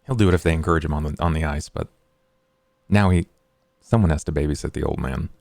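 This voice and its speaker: A man playing in a tabletop roleplaying game, terse and deadpan. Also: rumbling low, quick pace, creaky, dry recording. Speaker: player.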